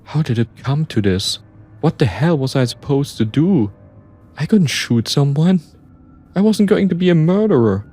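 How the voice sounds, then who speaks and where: A man's voice: mid-range voice, expressive, moderate pace, hardly any pauses, husky, soft background music, voice pushed up. A man voicing a panicked, reluctant criminal accomplice in an audio story, agitated and pleading. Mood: desperate. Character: panicked, reluctant male accomplice refusing to kill.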